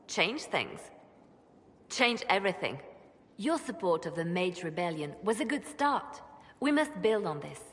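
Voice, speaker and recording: A devout reformist churchwoman from a fantasy game. She speaks with gentle, earnest conviction, like a measured orator with impassioned ideals. Her voice rings with long hall reverberation. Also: on the low side, sing-song. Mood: resolute. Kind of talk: dramatic video game dialogue.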